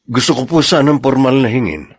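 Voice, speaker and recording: A man playing a polite, earnest young soldier suitor in a family drama, formal, respectful and halting. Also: mid-range voice, sing-song, slightly rough. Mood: respectful, nervous. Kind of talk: Filipino family drama acting.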